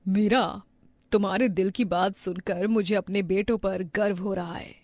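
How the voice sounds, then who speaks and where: A woman's voice: mid-range voice, steady tone, moderate pace, darkish tone, no room sound, natural voice. A woman playing a stern, proud older mother-in-law in a dramatized moral story. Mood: softened, remorseful.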